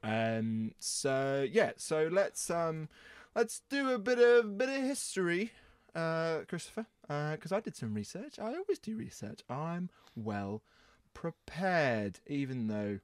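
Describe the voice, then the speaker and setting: A man's voice: a little high, very animated, takes it slowly, smooth, dry recording, clean studio sound. A man co-hosting a podcast in casual, playful banter with mock-indignant teasing. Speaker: podcast host.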